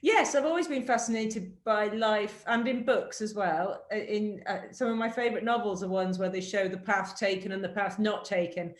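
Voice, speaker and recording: A woman's voice: mid-range voice, normal speed, few pauses, roomy sound. An English woman with a mixed Yorkshire and Cornish accent, chatting as an author on a video call and talking in a reflective, rambling way. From England. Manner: chatty, reflective, with many fillers and restarts. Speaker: author and interview guest. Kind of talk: casual conversation over video call.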